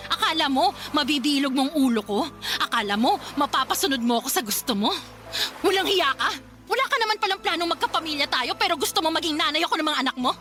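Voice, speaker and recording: Radio drama girlfriend, betrayed and fiery: very high, bright, piercing scolding, soft music underneath. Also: animated, fast. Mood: hurt, furious. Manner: shrill, scolding, emphatic. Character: furious, betrayed live-in girlfriend, fiery and confrontational. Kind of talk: melodramatic radio drama acting. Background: background music.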